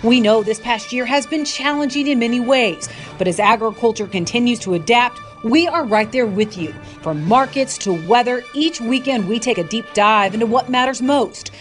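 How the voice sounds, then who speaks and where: A woman reads a scripted promo for her own show in an upbeat, polished voice. Her voice sits a bit higher than most. Faint music plays behind her. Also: normal speed, hardly any pauses. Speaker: farm show host in a promo.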